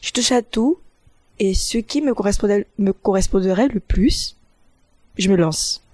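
Halting young woman student, very dry sound. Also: medium pitch, a little mellow, no echo. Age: young adult. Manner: hesitant, halting, restarts often. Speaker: university student intern. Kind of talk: interview answers.

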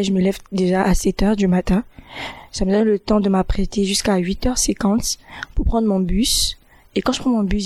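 A young woman university student answering interview questions in a hesitant, halting way, often restarting her sentences. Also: mid-range voice, a little mellow, no echo. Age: young adult.

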